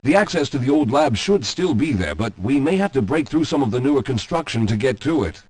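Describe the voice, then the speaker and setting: An actor playing a weary, guilt-ridden scientist in a video game, speaking in a formal, articulate, explanatory way. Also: male voice, medium pitch, expressive, fairly quick, darkish tone, close to an everyday voice. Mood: impatient, determined. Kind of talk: scripted video game character dialogue. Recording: echoey hall with long reverb.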